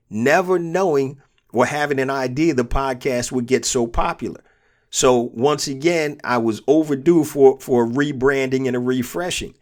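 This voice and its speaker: A man with a cold, his nose stuffed and throat sore, hosting a motivational business podcast. He talks earnestly and repeats his key points for emphasis. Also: medium pitch. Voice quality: congested. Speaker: podcast host and business coach.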